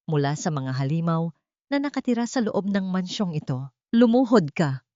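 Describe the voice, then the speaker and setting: A woman's voice: fairly low pitch, sing-song, normal speed, darkish tone. A woman narrating an audiobook drama in a solemn, melodramatic scripted style. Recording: dull lo-fi audio with room echo.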